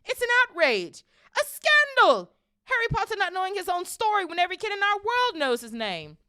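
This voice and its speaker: Woman acting a gruff, blustering big loyal groundskeeper. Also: deep voice, lively intonation, no room sound, clean studio sound. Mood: outraged. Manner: blustering, halting, folksy.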